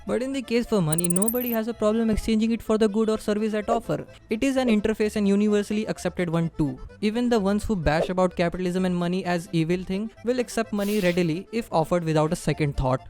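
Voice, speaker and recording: A man's voice: high voice, fast talker, hardly any pauses, dark tone, soft background music. A man from India with a strong Indian accent, narrating a scripted explainer and addressing the viewer directly.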